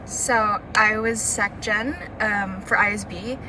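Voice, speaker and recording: A woman's voice: mid-range voice, steady tone, slow pace, noisy background. A young woman from Thailand, chatting casually as an interview guest, laughing often. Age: young adult. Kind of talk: casual chat.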